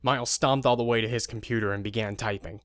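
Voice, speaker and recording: A man narrates an audio drama from a script. His voice sits at an ordinary male pitch, and the recording is very dry with no room sound. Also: steady tone, fast, fairly bright tone, dry recording.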